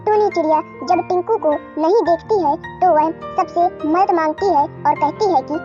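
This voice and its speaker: A woman narrates a children's story in an extremely high voice. The recording is a bit dull, with soft music playing underneath. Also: normal speed.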